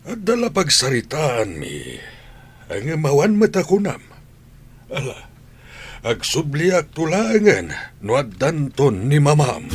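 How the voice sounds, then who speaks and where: A man's voice: fairly high pitch, full character voice. A man playing a stern, concerned old grandfather in a radio drama, with an Ilocano accent and an admonishing way of talking. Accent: Ilocano Filipino. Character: stern, concerned old grandfather scolding his gambling daughter.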